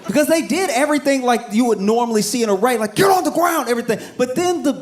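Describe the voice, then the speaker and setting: Animated male stand-up comic, high voice. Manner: animated storytelling, acts out scenes in quick bursts. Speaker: stand-up comedian. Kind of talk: stand-up routine. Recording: live stage recording with audience noise. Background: audience laughter.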